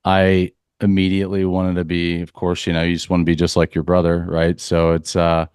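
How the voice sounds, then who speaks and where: A man from Central Florida, a leadership coach for athletes, talking calmly and earnestly in a reflective podcast interview. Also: low voice, brisk, clean tone, dry recording, studio quality. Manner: calm, earnest, spiritual phrasing.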